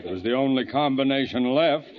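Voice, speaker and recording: An actor playing a droll, pedantic husband and family friend in old-time radio comedy, theatrically matter-of-fact. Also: male voice, mid-range voice, fairly quick, a loud crowd, lightly acted. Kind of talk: 1940s-50s radio comedy acting. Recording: dull old radio recording, loud audience, noisy. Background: studio audience and heavy noise.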